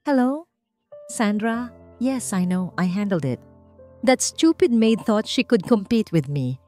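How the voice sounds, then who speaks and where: A woman's voice: mid-range voice, expressive, takes it slowly, mellow, faint music behind, voice pushed up. A woman voicing a smug, spoiled rich bride who talks in a haughty, sneering, boastful way in a narrated story. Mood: smug, gloating.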